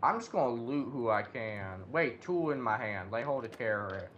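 A man's voice: medium pitch, animated, moderate pace, fairly bright tone. Muffled man chatting casually over a game. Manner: profane, slangy, blurts reactions to finds. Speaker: video game player. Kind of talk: casual co-op gameplay chat. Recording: muffled lo-fi mic, background noise.

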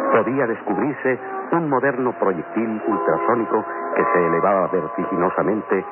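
A man playing a solemn, theatrical storyteller, narrating a vintage radio adventure serial in florid style. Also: low voice. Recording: tinny old radio recording, loud music.

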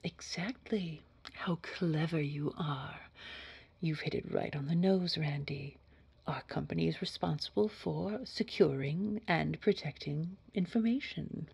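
A woman playing a secretive corporate boss in an audio drama, interviewing in a measured, formal, insinuating way with a breathy, whispery voice. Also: deep voice, darkish tone, full character voice. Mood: controlled, probing. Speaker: job interviewer. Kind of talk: scripted audio drama dialogue.

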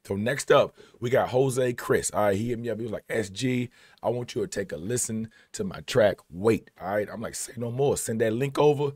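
Kentucky man, 30s, hoarse and husky, low, relaxed reaction host in a dry small room. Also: fairly quick, dry recording. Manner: laid-back, slangy, many "you know what I'm saying". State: losing his voice. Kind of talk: casual reaction commentary. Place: small home studio, indoors.

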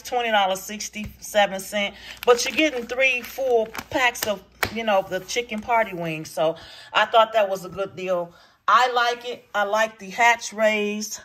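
A woman's voice: mid-range voice, fairly bright tone, slight background noise. A woman vlogger, chatting casually with her viewers about what she bought, recorded on a handheld phone in her home kitchen. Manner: chatty, addresses viewers as "you guys" and "y'all". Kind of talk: casual grocery haul chat. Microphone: phone camera held in hand.

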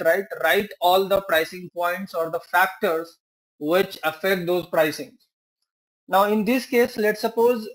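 A man teaching a software tutorial, working through examples step by step with rhetorical questions, fillers and self-corrections. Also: high voice, low-quality recording. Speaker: software trainer. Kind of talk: screen-share tutorial walkthrough.

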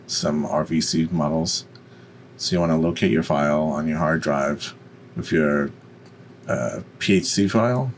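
A man with a deep voice giving an unscripted, step-by-step software tutorial. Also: slight background noise. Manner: instructional, step by step. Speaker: tutorial presenter.

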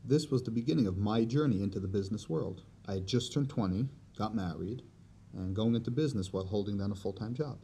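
A young man recounts his past in a loose, conversational podcast monologue. His voice sits a little low and sounds dark and mellow. Faint ambience hums under the recording. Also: quick pace, vocal fry, slight background noise. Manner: conversational, tag questions like "right?". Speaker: podcast host. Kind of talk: personal storytelling monologue.

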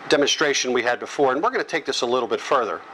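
A middle-aged American man giving an instructional lecture, explaining in short, measured phrases. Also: medium pitch, lively intonation, background noise. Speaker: electrical training instructor. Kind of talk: instructional lecture read from slides. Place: small office or classroom with whiteboard, indoors. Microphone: lapel microphone.

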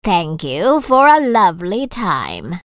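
A woman playing a kindly, prim older village mother, polite and a little sceptical, in an animated children's story. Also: on the low side, slow pace, dry recording, clean studio sound, exaggerated voice.

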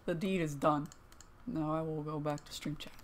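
Young woman streamer, low voice, rambling self-deprecating chat, faint room hum. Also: fairly even intonation, moderate pace. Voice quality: low-pitched voice. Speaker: streamer playing a game. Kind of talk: casual gaming chat.

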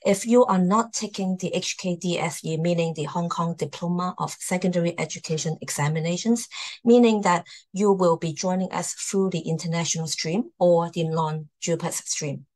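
A woman's voice: on the low side, moderate pace, darkish tone. A woman hosting and presenting in a formal slide talk over a video call. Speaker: host, university admissions presenter.

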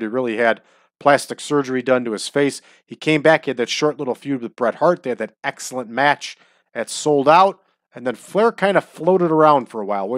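A man's voice: medium pitch, no echo. A man talking through a wrestling booking game with chatty, opinionated asides. His voice sits in a middle range, and his nose sounds stuffy and runny from allergies. The sound is dry and close. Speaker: let's-play host. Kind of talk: casual gameplay commentary. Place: at home.

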